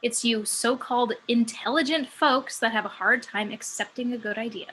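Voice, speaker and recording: A woman's voice: on the high side, fairly even intonation, normal speed, a bit bright, slight background noise, natural voice, poor audio. A woman playing a cocky, sardonic muse who works as a stripper, in a script table read. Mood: boastful, wry. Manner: sardonic, brash. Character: sassy, cocky female muse working as a stripper.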